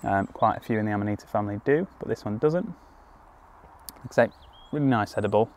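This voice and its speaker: A young man speaks in a lowish voice, calmly walking through how to identify what he's found. He talks easygoing and explanatory, with plenty of ums and restarts. He is crouched outdoors in a woodland clearing, recorded by a camera a few metres off. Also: fairly quick, slight background noise. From United Kingdom. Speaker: foraging guide. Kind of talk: outdoor teaching walkthrough. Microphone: camera on a tripod at a few metres, crouching in frame.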